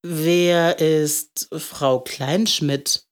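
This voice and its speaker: A woman voicing a kindly, playful old male candlemaker in a storybook, talking in a gentle, ceremonious way. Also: low-pitched, steady tone. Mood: puzzled, gentle. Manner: gentle, ceremonious, drawn-out questioning.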